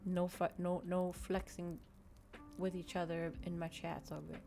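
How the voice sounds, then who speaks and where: A woman's voice: fairly low pitch, little pitch movement, normal speed, vocal fry. A woman hosting a livestream, chatting casually with viewers in a rambling way, often repeating and restating her phrases. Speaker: VTuber livestream host.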